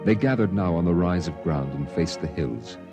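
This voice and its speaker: Grave narrator, deep voice, measured, somber, 1950s radio drama, prominent music behind. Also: male voice, lively intonation. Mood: grave, mournful. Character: somber man recalling a Martian exodus.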